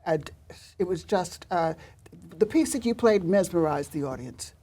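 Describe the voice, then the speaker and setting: An older woman hosting a TV interview, gracious and effusive, with frequent fillers and pauses. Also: lowish voice, lively intonation, warm tone. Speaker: talk show host. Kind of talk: studio interview.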